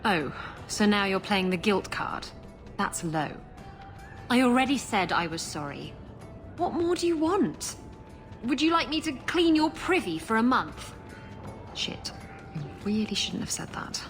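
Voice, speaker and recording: A woman's voice: mid-range voice, sing-song, measured pace, pauses often, soft background music. An actress playing a brash, flirtatious female pirate captain in a game, cheeky, sardonic and theatrical. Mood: exasperated.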